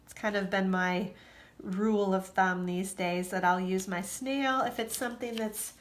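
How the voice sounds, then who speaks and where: A woman's voice: mid-range voice, fairly even intonation, measured pace. A woman giving a chatty craft tutorial at her worktable, sounding stuffy and nasal from allergies. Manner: chatty, with fillers and asides. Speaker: craft demonstrator. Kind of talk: casual tutorial.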